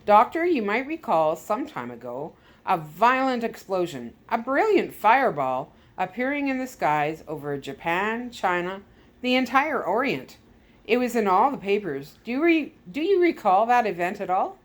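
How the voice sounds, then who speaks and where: A smug Soviet KGB skipper , as a woman reader puts him on, the talkative male villain of a storybook adventure. He gloats in a deliberate, theatrical way, pleased with himself and keen to explain. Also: mid-range voice, very animated, close to an everyday voice. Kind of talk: storybook read-aloud villain.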